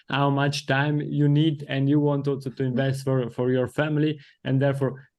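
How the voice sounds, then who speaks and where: A man's voice: mid-range voice, little pitch movement, normal speed, darkish tone. A man with an Italian accent, a native Italian speaker, hosting a podcast interview in careful, formal English. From Italy. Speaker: podcast host.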